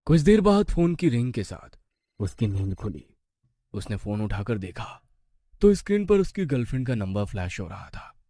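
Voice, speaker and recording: A man's voice: medium pitch, very animated, moderate pace, dark tone. A man narrating a scripted horror story with suspenseful, dramatic phrasing.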